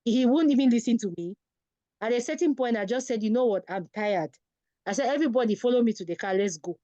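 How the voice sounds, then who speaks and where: A woman's voice: on the high side, quick pace, dark tone, no room sound, lo-fi sound. A woman, a guest parent on a talk show, telling personal stories in a conversational way.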